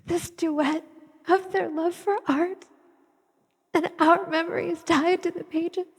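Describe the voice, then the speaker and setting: A woman's voice: very high-pitched, fairly even intonation, slow, full character voice. A woman playing a grieving, determined widow in a solemn, declamatory stage monologue. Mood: sorrowful. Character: grieving determined widow, sister-in-law preserving a painter's legacy. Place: theatre stage. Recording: stage hall with long reverb.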